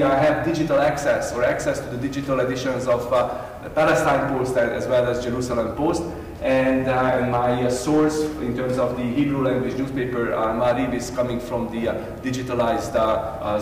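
A man's voice: fairly high pitch, slight background noise. A middle-aged man giving an academic conference talk at a podium, hesitant with many filler sounds and restarts. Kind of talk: academic lecture. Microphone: podium microphone, filmed from far. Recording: echoey hall, far from mic.

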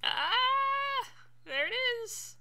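A woman giving casual, animated commentary as she streams a video game let's play. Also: very high voice, drawn-out words, vocal fry. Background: game music.

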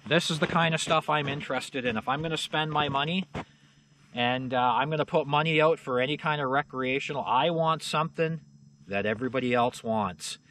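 A man's voice: fairly high pitch, brisk, fairly bright tone. Middle-aged guy chatting outside in the snow, plain-spoken and rambly, bit of background noise. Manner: folksy, plain-spoken, lots of fillers like "you know" and "uh". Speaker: channel host showing snowmobiles. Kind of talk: casual rambling walkaround talk. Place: outdoors in a snowy yard.

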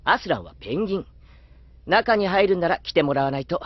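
An actor playing a gentle, easygoing young man with a soft voice in a Japanese anime audio drama. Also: sits high, very animated, brisk, no room sound. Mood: mild, easygoing. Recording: dull lo-fi audio, faint music.

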